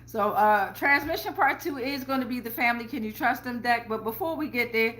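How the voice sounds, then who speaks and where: High, bright-voiced woman, blunt and slangy. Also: a little fast. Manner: blunt, heavy swearing, slangy, repeats phrases for emphasis. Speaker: tarot reader, show host. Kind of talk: casual spiritual tarot reading to followers.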